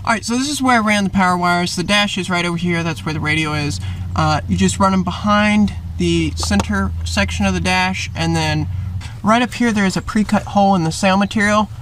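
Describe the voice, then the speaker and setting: Higher-voiced man giving a casual DIY tutorial. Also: a little fast, fairly bright tone, faint music behind, low-quality recording. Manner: plain-spoken, explanatory. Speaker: host of a how-to video.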